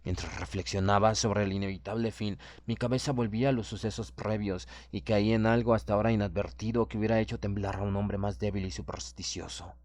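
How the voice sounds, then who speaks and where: A man narrating a horror audiobook in the first person, with a measured, solemn and literary delivery. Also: lowish voice, fairly even intonation, a little mellow.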